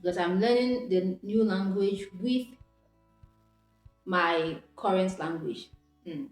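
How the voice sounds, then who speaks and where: A woman's voice: mid-range voice, slow pace, darkish tone. Nigerian woman vlogging in an echoey room. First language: Igbo. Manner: reflective, with frequent restarts and self-corrections. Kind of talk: casual talking-to-camera vlog. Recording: echoey room with faint background music.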